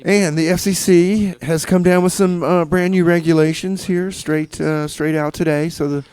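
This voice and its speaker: A man hosting a radio show with casual, rambling DJ patter in a halting, tongue-in-cheek, mock-official manner. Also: on the high side, fairly even intonation, darkish tone, smooth.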